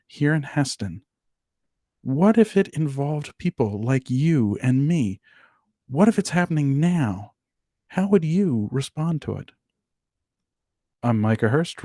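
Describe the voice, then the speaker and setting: A man's voice: on the high side, warm tone, no echo, clean studio sound. A man playing an earnest radio news reporter, narrating a scripted radio play.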